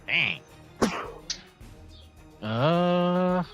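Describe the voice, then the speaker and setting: A man playing a tabletop roleplaying game, talking casually, on muffled low-fidelity audio. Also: expressive, drawn-out words, gravelly, fairly bright tone, soft background music.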